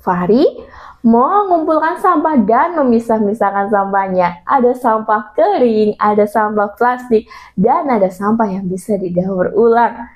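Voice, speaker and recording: A woman's voice: on the high side, measured pace. A woman telling a story expressively to young children, in a dull lo-fi recording. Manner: addresses child listeners, expressive. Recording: dull lo-fi sound.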